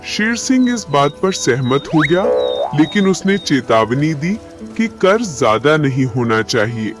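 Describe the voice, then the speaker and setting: A man narrating an animated animal story in a scripted storytelling style. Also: a little high, unhurried, music in the background.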